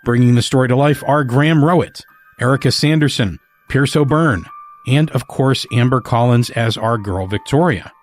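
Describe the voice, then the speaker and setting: A man hosting a podcast, reading a scripted introduction in an earnest, measured way. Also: medium pitch, soft background music. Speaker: podcast host.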